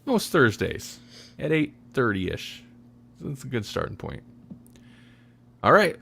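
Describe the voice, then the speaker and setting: A man hosting a casual podcast chat, often halting and thinking aloud as he talks. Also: medium pitch, very animated, vocal fry, fairly bright tone, husky. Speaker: podcast host.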